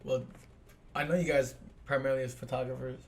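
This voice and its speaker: A man hosting a podcast in a loose, joking chat, losing his voice a little and clearing his throat now and then. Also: medium pitch, level tone, fast, a little hiss or hum. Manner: loose, joking, swears freely. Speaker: podcast host. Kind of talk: casual chat.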